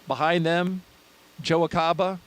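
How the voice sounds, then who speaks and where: A man's voice: a little high, measured pace. Friendly male launch broadcast host. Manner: steady, informative narration with friendly asides. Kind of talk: live launch commentary.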